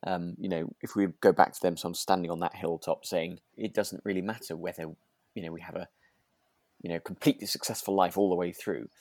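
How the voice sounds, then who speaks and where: A man's voice: deep voice, fairly even intonation, brisk, a little mellow, no echo, studio quality. A man co-hosting a podcast in casual chat, feeling his way tentatively through points and checking for agreement. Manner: tentative, circles around points, seeks agreement. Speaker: podcast co-host. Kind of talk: casual review chat about a radio drama.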